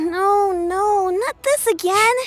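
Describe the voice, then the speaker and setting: A woman's voice: extremely high pitch, extremely slow, exaggerated voice. A woman voicing a polite, caring young granddaughter in a video game, eager and courteous. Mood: caring, patient. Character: polite, bright young granddaughter looking after her granny.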